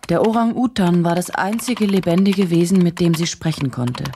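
Actress as woman journalist in a radio play, low mellow voice, bit of fry, brooding, faint typing noise. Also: moderate pace, lightly acted. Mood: brooding, intent. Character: probing female journalist, inward and unsettled, reading and narrating. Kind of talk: radio drama narration.

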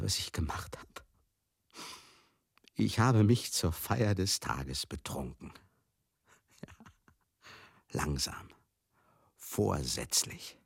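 An actor in a radio drama monologue, playing a garrulous, hard-drinking older showman who grieves as he recalls a lost friend, husky, halting and confiding. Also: deep voice, dry recording, studio quality, full character voice. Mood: boozy, amused. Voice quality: husky, gravelly. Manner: halting, rambling, confiding, asks listeners questions.

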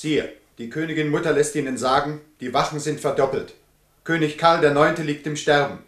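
Palace herald for radio play, male actor, highish urgent voice, roomy echo, slightly distant. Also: fairly even intonation, moderate pace, fairly bright tone, natural voice. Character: urgent royal messenger announcing grave news. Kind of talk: German radio drama acting.

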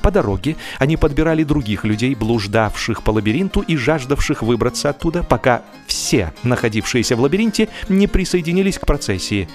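Male narrator, medium pitch, theatrical humorous storytelling with measured pauses, light music underneath. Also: expressive. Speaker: audiobook narrator.

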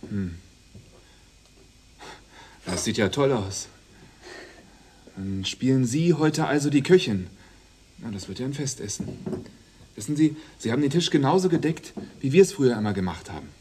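Faint noise sits behind an actor playing a young policeman in a radio play. He is a courteous, slightly puzzled man who speaks naturally at an ordinary pitch. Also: lively intonation, moderate pace, pauses often, dark tone, close to an everyday voice. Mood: polite, curious. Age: young adult. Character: polite, puzzled young policeman, an attached nephew. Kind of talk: naturalistic radio drama acting.

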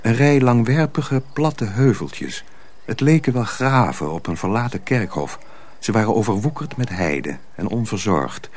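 A man narrating a dark folk tale for a radio drama, measured and solemn. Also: fairly low pitch, big pitch swings, a little hiss or hum. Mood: somber. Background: music.

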